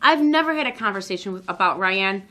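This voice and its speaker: Woman acting as a school counselor, echoey room, medium pitch, firm, admonishing, reasoning step by step, amateur role-play. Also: animated, fast talker, bright, forceful tone. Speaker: school staff member.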